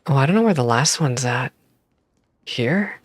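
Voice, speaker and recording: A woman's voice: rumbling low, lively intonation, unhurried, no room sound. A woman streaming a game, casually reacting and reading the on-screen text aloud on a close microphone.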